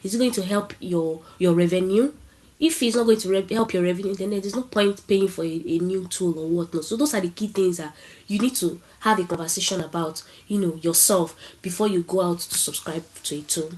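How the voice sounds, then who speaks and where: A woman's voice: lowish voice, brisk, darkish tone, rough recording. A woman with a Nigerian accent who has a cold, giving a casual talk at an online tech event over a video call and asking the audience questions. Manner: chatty, asks the audience questions.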